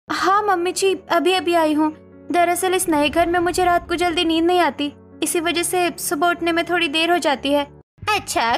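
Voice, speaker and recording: A woman acting scornful mothers-in-law and hurt young daughters-in-law in an animated family drama, with emphatic melodramatic delivery. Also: very high voice.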